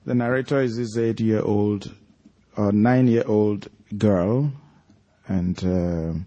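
Nigerian guy doing an author reading, really slow pace, mellow dark voice. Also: lowish voice, dull audio. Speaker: author reading from his own story at an event. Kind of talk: live author talk and literary reading to an audience. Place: live event at a library.

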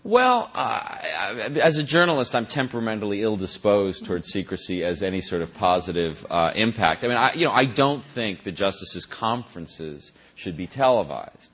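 A man, a journalist, answering interview questions in a halting way, with many restarts and a dry, wry edge. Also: on the low side, sing-song, a bit bright. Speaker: journalist and author.

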